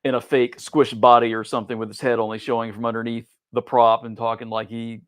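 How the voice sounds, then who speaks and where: A middle-aged male podcast co-host chats casually in a medium-pitched voice. He recounts and describes things informally, as in a relaxed conversation between friends. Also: quick pace, clear, resonant tone. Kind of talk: casual chat, recounting TV memories.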